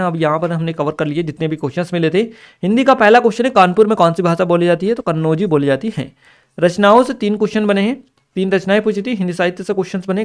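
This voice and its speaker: A man's voice: high-pitched, clear, resonant tone. A man giving an instructional exam review, reading out questions and answers and listing points repetitively with small check-in tags. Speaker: exam coaching teacher.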